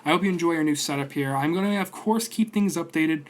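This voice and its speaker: A man's voice: on the high side, fast talker. Rambling young man talking stocks. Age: 20s. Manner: rambling, many fillers and restarts. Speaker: stock trading streamer. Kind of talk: casual stock commentary stream.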